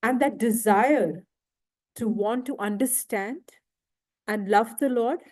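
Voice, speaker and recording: Slow, soft-toned woman lecturing. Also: on the high side. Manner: slow, asks the audience questions. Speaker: guest lecturer. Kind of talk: devotional lecture. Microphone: handheld mic, failing at times.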